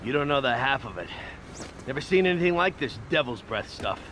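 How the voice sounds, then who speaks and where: Weary city cop, game dialogue, plain-spoken, middle pitch, noisy street. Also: male voice, sing-song, brisk, a bit bright. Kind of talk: video game voice acting.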